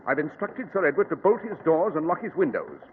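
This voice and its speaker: An incisive detective of vintage radio drama, grave and commanding. He speaks quickly and precisely with a lively, swooping delivery, heard through a thin, tinny old broadcast. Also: fairly high pitch. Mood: grave, urgent. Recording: echoey, hissy, tinny old radio recording.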